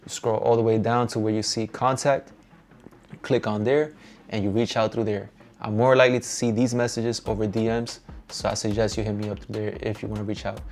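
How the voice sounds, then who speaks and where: A young man with a Dominican accent giving a relaxed, upbeat tour of his home music studio, encouraging and slangy. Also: on the low side, brisk. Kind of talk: casual vlog walkthrough.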